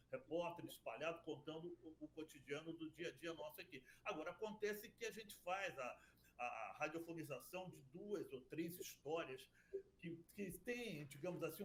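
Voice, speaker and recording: A man's voice: fairly high pitch, a little hiss or hum, rough recording. A man who works as a radio broadcaster and producer, talking in a chatty interview and telling stories with many restarts. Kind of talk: casual interview talk.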